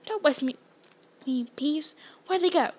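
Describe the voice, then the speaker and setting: A woman's voice: high-pitched, unhurried, darkish tone, voice pushed up. A woman voicing an irritable male caretaker in a storybook, with an impatient, demanding manner. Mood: impatient, exasperated. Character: irritable male caretaker hunting for misbehaving students. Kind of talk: storybook character voice.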